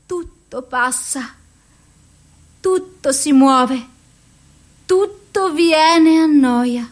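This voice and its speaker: A woman acting an anguished, mentally ill, lovelorn woman in a theatrical dramatic monologue, with an intense, deliberate delivery. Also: high voice. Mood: brooding grief.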